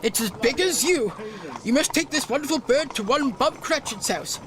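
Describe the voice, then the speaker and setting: Radio play: elderly ex-miser, giddy and effusive, voice pitched way up, full of exclamations. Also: male voice, lively intonation, moderate pace, crowd noise, low-quality recording. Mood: joyful. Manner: exclamatory, effusive, repeats phrases. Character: reformed old miser, giddy, generous, gleefully excited gentleman. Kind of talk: radio drama acting.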